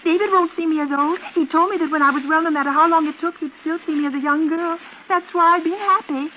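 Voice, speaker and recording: A very high, girlish voice reaches us through a tinny, slightly noisy old radio recording. It is a fragile, unbalanced woman, childlike and plaintive, in a vintage suspense play. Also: steady tone, quick pace. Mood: wistful. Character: fragile, childlike, pleading mad twin sister.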